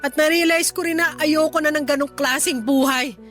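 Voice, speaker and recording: High-pitched, emotional remorseful mother. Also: steady tone, moderate pace, a bit bright, soft background music. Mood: resolved, affectionate. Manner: emotional, pleading. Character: remorseful, affectionate middle-aged mother, former compulsive shopper. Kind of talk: Tagalog radio drama acting.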